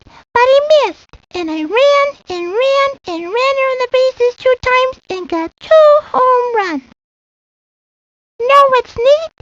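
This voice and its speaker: A woman voicing a very young child in a video game, proud and rambling, with earnest childlike boasting. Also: very high-pitched. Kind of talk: video game character monologue. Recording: lo-fi 1990s game audio, faint hiss.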